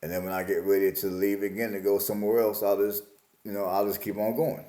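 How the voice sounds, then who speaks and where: A man's voice: fairly low pitch, little pitch movement, quick pace, dark tone, roomy sound. A man in his forties from Alabama, musing aloud in a casual, plain-spoken vlog about his life. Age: 40s. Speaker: vlogger. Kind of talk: casual reflective monologue.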